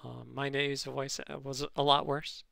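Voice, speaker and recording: A man with a nasal voice, hosting his channel and replying to comments in a halting, dryly sarcastic way, full of ums and restarts. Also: mid-range voice, little pitch movement, creaky, fairly bright tone, dry recording, clean studio sound. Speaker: channel host responding to comments. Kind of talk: casual commentary reading comments aloud.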